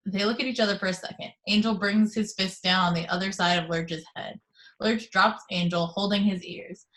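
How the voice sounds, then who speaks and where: A woman reading the action descriptions of a screenplay in a steady, matter-of-fact way on a video call. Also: mid-range voice, poor audio.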